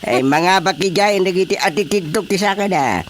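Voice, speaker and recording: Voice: mid-range voice, moderate pace, a little hiss or hum, lightly acted. An actor with an Ilocano accent playing an elderly, playful village father in a radio comedy drama, chuckling and drawing out interjections. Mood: amused.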